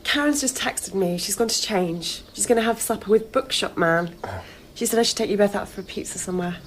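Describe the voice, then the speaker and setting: An actress plays a young female assistant on a film crew. Her voice sits at an average pitch. She chatters on about herself, oblivious to her listener, in natural radio drama style. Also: a little fast, a little hiss or hum, natural voice. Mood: brisk. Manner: talkative, self-regarding, oblivious.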